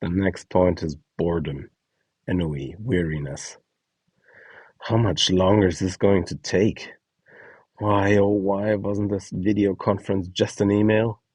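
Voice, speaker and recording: A man's voice: low voice, fairly even intonation, unhurried, a little mellow, no echo, recorded from a distance. A man reading a scripted instructional guide, acting out short example lines as he goes. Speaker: instructor presenting a voice donation guide.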